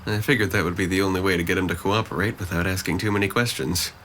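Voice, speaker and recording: A man's voice: low voice, steady tone, fast, a bit bright, slight background noise. A man playing a sardonic, scheming teenage boy in an audio drama, articulate and condescending. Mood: dry, matter-of-fact. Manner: articulate, formal phrasing, condescending.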